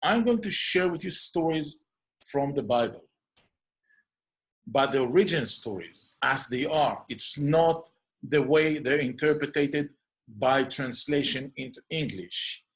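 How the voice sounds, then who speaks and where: A man's voice: mid-range voice, expressive, a little slow. A man with an Israeli accent, a native Hebrew speaker talking in English, hosting a solo introduction to his program. He speaks earnestly and stacks up lists of near-synonyms. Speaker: host.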